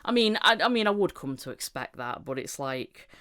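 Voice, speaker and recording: A woman's voice: on the low side, sing-song, normal speed, a bit bright, clear, resonant tone. A woman hosting a podcast in casual chat, giggly and self-deprecating as she shares anecdotes. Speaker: podcast host and voice actor.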